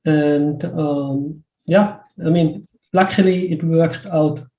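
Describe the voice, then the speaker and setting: A man's voice: a little high, steady tone, takes it slowly, mellow, highs cut off. A man with an Austrian accent talking casually as an interview guest, circling back over words and peppering his sentences with asides. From Western Austria. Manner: hesitant, looping delivery with repeated words and many "like" asides. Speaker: interview guest, pixel artist. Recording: roomy, echoey sound.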